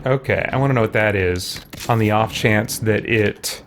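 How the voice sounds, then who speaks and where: A man's voice: lowish voice, moderate pace, noisy background. A man doing casual live gameplay commentary, thinking aloud and muttering to himself as he plays. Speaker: game streamer.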